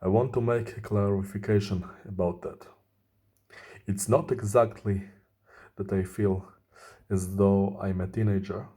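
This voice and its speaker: Slow, mellow-voiced man in his thirties. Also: fairly low pitch, steady tone, husky. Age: 30s. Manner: slow, deliberate, earnest explaining. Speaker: vlogger. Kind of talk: personal monologue to camera.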